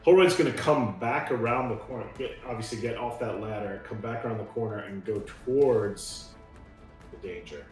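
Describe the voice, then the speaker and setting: A man's voice: on the low side, normal speed, faint music behind. Echoey, distant man at a game table. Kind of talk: casual game-table chat. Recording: very echoey, far from the mic.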